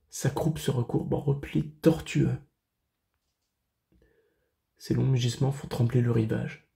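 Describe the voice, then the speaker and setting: A male actor plays a solemn, grieving man of classical tragedy, recounting horror in measured verse. The voice is dark and mellow with a heavy gravelly creak. He declaims very slowly, leaving dramatic pauses between phrases. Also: medium pitch. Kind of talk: classical French verse tragedy monologue, self-tape audition.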